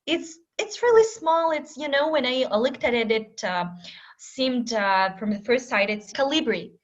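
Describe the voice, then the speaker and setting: A woman whose first language is Russian, interviewing in English, excited and laughing often while she searches for words with many uhs. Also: sits high, normal speed. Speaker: interviewer, English club host. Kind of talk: casual conversation. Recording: dull lo-fi audio.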